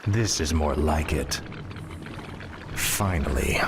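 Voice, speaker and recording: A man's voice: rumbling low, slow pace, heavy background noise. A man voicing a cold, bloodthirsty undead death knight from a video game, curt, imperious and menacing. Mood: cold menace. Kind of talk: video game voice acting, short battle barks.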